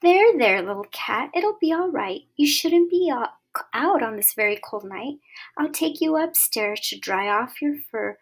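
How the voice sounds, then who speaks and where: A woman playing a kind lonely old lady in a picture book, soft and coaxing, with a bright, high voice that lilts warmly through her chatty lines. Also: expressive, unhurried, slightly rough, heavily acted.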